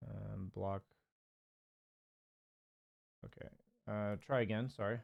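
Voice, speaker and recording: A man's voice: on the low side, steady tone, slow pace, creaky, muffled recording. A man coaching a player one-on-one in a loose, chatty way, with lots of fillers and the odd swear word. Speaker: game coach. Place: streaming from home.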